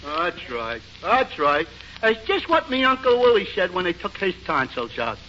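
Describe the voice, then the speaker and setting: On a muffled, crackly 1940s radio recording, a slow-witted New tavern regular. The voice is pitched high and halts along in good-natured, oblivious fashion. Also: male voice, wildly swooping pitch, pushed and bright, exaggerated voice. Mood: dopey sympathy. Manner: dopey, halting, oblivious. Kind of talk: 1940s radio comedy acting.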